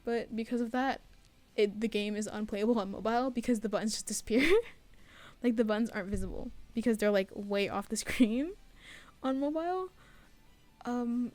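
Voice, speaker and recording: Casting: young female student creator, slightly high voice, informal self-deprecating devlog narration with a giggly, chatty delivery. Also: normal speed, a little mellow, soft background music. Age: young adult. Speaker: student game developer and artist. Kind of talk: casual devlog voice-over, rambling chat.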